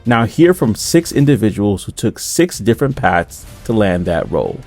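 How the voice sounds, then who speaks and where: Lively low-voiced male host. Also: normal speed, faint music behind. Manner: upbeat presenter, inviting. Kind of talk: podcast intro and interview.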